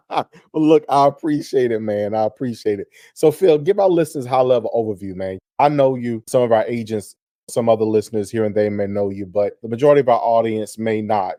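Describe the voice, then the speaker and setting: A man hosting a real estate radio show, talking in an energetic, effusive way as he hypes up his guest. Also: mid-range voice, fairly quick, no room sound, studio quality. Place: radio studio.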